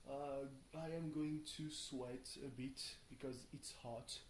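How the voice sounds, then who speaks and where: A tired young man rambles casually through a video diary, halting and thinking aloud, often questioning himself. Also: medium pitch, fairly even intonation. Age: young adult. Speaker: video blogger. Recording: roomy echo, faint background noise.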